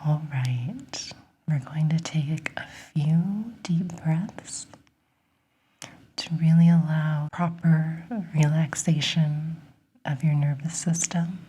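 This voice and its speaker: A woman leads a guided relaxation in a very breathy whisper. She speaks slowly and gently, with a mellow tone. The delivery is soothing and encouraging, in an ASMR style. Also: sits low.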